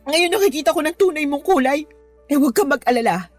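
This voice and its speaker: Young indignant girlfriend, high pitch, emotional, wounded, argumentative, radio drama, light music underneath. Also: animated, fast talker. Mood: hurt, indignant. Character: hurt, indignant young girlfriend short of money. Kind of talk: Tagalog radio drama acting.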